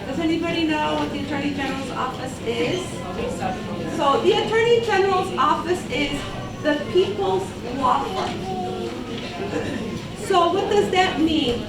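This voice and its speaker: A woman from Portugal, a community engagement coordinator, giving a patient workshop talk and often checking in with "okay?". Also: high-pitched. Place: large public hall with an audience. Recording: echoey hall from far off, crowd noise.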